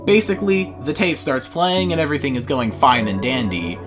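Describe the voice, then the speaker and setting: A man's voice: mid-range voice, big pitch swings, a little fast, music in the background. A man narrating a scripted video essay in an informal, opinionated way.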